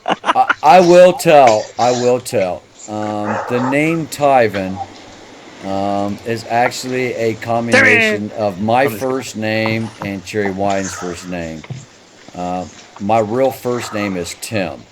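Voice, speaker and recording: 40s guy hosting a gaming podcast, talks fast and loose, noisy line. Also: lowish voice, expressive. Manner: quick, loose, repeats himself. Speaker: podcast host. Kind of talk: casual group chat reading viewer questions. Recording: audible background noise.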